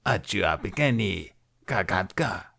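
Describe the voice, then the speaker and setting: A man's voice: deep voice, animated, a little slow, creaky, a bit bright, heavily acted. A man voicing a gigantic female alien slug crime lord in an audiobook, imperious and taunting. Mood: surprised.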